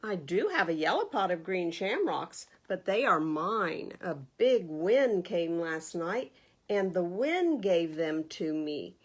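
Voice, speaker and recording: A woman voicing a polite, matter-of-fact goat from a children's picture book, in a measured, formal manner. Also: lowish voice, very animated, exaggerated voice. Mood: firm.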